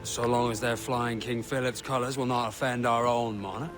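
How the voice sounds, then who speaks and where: An actor playing a brash, mocking pirate schemer with a theatrical delivery in a video game. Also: male voice, medium pitch, fairly even intonation, moderate pace, over music, natural voice. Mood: businesslike, admiring. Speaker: pirate comrade. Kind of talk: dramatic video game acting.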